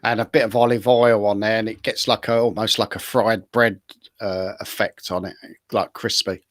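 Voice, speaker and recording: A man's voice: on the low side, level tone, moderate pace, a bit bright, no echo, studio quality. A man casually giving practical tips as a show panelist.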